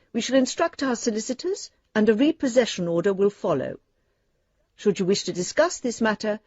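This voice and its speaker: A woman's voice: fairly high pitch, darkish tone, husky, dry recording, dull audio. An actress playing a formal but kindly female bank lending advisor, voicing her letters in a radio drama. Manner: official, precise letter-reading.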